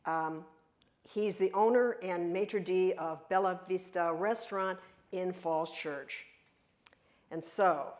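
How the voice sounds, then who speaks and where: An older woman serves as narrator for a play reading. Her voice is a little low, and she reads the script out plainly, with occasional hesitations.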